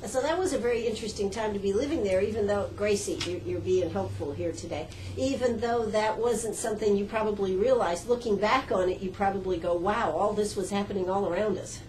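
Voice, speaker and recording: A woman's voice: mid-range voice, a little fast, few pauses, a little mellow, a little hiss or hum, poor audio. A woman hosting a radio interview in an art gallery, chatty and effusive, with a folksy way of talking. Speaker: radio host.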